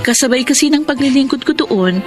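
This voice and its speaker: Earnest Filipino storyteller over music. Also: female voice, sits high, lively intonation, brisk. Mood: reflective. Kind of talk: dramatic scripted first-person radio narration.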